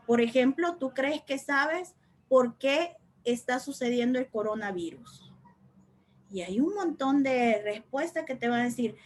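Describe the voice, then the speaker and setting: A woman teaching a spiritual study class, speaking reflectively and repeating phrases for emphasis. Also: high-pitched. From El Salvador.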